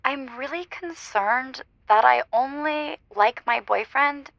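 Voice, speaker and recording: Actress as high-voiced, creaky phone-in caller, earnest, polite, smitten and gushing. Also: female voice, takes it slowly, dry recording, muffled recording, exaggerated voice. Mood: polite, worried. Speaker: caller to advice show. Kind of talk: improvised comedic phone-in.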